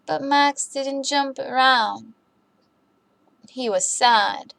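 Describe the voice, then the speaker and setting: A woman reading a children's bedtime story aloud in an expressive, deliberate way for young listeners. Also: sits high, creaky, no room sound.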